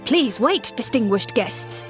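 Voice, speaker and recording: Royal guard, fairly high voice, very varied pitch, formal, deferential, anime game. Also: female voice, lively intonation, takes it slowly, music in the background. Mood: courteous.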